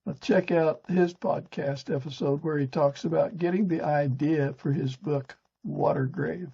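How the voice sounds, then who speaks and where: A man with a gravelly, husky voice hosting a podcast, mostly reading prepared narration with short conversational interjections. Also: a little high, fairly even intonation, unhurried, mellow, muffled recording. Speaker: podcast host.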